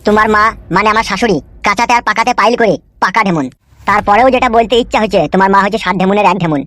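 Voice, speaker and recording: A man voicing a lovesick, foul-mouthed young husband in a comedy cartoon, high-pitched and melodramatic, pleading and grumbling. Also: very fast, sharp tone, no echo. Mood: aggrieved, ranting. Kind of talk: amateur comedy cartoon dubbing. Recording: music under the voice.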